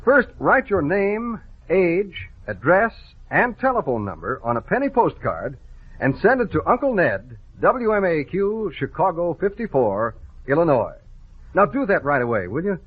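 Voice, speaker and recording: A man's voice: on the high side, sing-song, measured pace, breathy edge, narrow, tinny audio. A man with an American accent, announcing on a 1950s children's radio show, urging young listeners in a cheery, direct way on an old broadcast recording.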